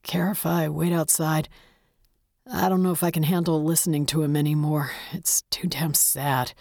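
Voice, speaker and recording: A woman's voice: low voice, level tone, normal speed, darkish tone, dry recording, clean studio sound. A woman voicing a male police officer in an audiobook, giving a clipped, factual and uneasy briefing. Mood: shaken, sad.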